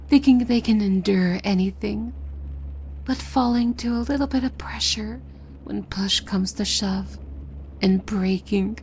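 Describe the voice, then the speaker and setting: A woman's voice: on the high side, darkish tone, slight background noise. A woman playing a possessive, doting demon maid in an ASMR roleplay, speaking formally and servilely with menace underneath, often slipping into a whisper.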